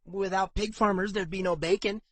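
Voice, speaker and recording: A man acts out an anxious young pig farmer in a fantasy audiobook. He sounds earnest and self-doubting, pitched well above a usual male voice. The recording is dry and close. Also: fairly even intonation, normal speed, no room sound, lightly acted. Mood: defensive. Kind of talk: audiobook character dialogue.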